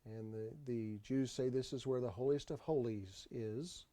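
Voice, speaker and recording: A man giving an unscripted tour voice-over, explaining sights in a halting way and often repeating his phrases. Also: mid-range voice, dark tone. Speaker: tour narrator. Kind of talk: unscripted travel video voice-over.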